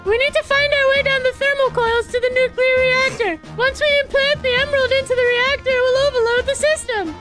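A woman's voice: extremely high pitch, steady tone, a little fast, few pauses, a bit bright, soft background music. A woman voicing a lovestruck, cutesy, prim hedgehog girl in an improvised comic game fan dub. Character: squeaky, lovestruck girly pink hedgehog girl.

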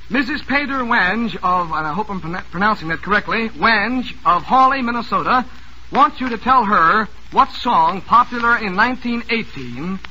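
Jovial high-voiced man hosting a radio quiz. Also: bright, forceful tone, muffled recording. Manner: jovial, teasing. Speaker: quiz show host. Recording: noisy old radio broadcast.

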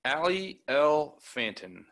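A man formally announcing names at a graduation ceremony in measured, short separate phrases. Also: on the high side, very animated, a bit bright.

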